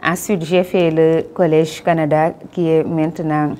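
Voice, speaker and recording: A Senegalese woman being interviewed as a guest, speaking French in a measured, formal way with frequent hesitations; her first language is Wolof. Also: low-pitched, level tone, dark tone. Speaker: guest, publisher and Wolof teacher. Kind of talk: interview answers.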